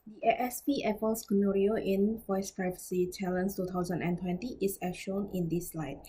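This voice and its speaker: Woman reading out a research talk, kinda husky voice, formal and careful, a bit halting. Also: medium pitch, steady tone, dark tone, poor audio. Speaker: researcher presenting a paper. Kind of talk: scripted academic presentation.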